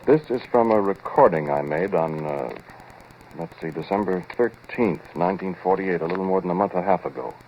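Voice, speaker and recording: A man's voice: deep voice, fairly quick, slight background noise, natural voice. A male actor playing a haunted scientist who narrates a horror story in a confiding, ominous 1940s radio style. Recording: muffled old radio recording over music. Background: music.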